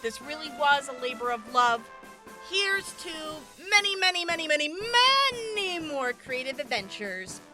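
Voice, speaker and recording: A woman voice actor giving a heartfelt, grateful thank-you, with halting restarts. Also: sits high, very animated, over loud music, poor audio. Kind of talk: casual thank-you message.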